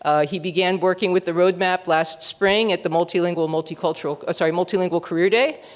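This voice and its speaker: A host giving a formal introduction of a guest speaker, warm in praise and correcting a small slip along the way. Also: mid-range voice, steady tone, fast.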